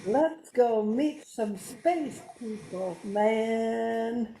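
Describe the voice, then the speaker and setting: Woman as a slow, laid-back hippie reindeer. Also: on the high side, dark tone, slight background noise. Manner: drawling slang. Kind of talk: amateur radio play acting. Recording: echoey room sound.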